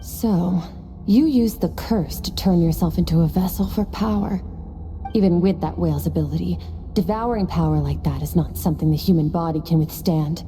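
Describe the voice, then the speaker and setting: A woman's voice: fairly low pitch, moderate pace, dark tone, faint music behind, lightly acted. A woman voicing a stern, cool swordmaster in a game, firm and lecturing. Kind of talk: game voice acting.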